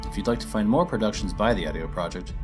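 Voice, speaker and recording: A man's voice: fairly low pitch, fast, music in the background. A man reading a children's story as its narrator, in a scripted storybook style.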